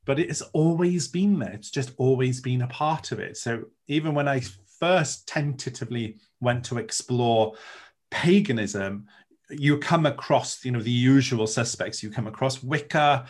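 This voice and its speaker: A man speaks in a reflective, lyrical way. His voice sits at an ordinary middle pitch for a man. His sentences are earnest and searching, and he often restarts them. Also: normal speed. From Wales. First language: Welsh. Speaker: interviewee, Druid. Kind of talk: reflective interview talk.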